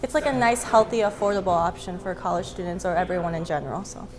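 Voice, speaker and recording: A woman's voice: on the low side, fast talker. Woman, 20s, from North Dakota, easygoing, crowd chatter behind. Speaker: restaurant co-owner. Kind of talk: interview.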